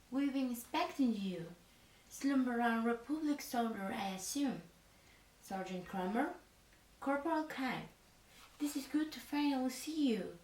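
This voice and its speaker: Woman playing a slow, formal resistance fighter. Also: sits high, a little mellow. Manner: halting, formal, stilted delivery. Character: earnest, formal female resistance fighter in pajamas. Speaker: ASMR roleplay performer. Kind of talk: soft-spoken military ASMR roleplay. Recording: echoey room, distant from mic.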